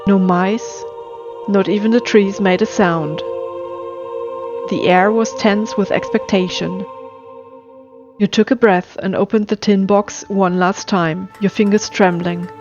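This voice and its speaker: Woman narrating a dark story over music. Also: mid-range voice, fairly even intonation, unhurried, long pauses, highs cut off. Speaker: storyteller and channel host. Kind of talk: scripted dramatic story narration.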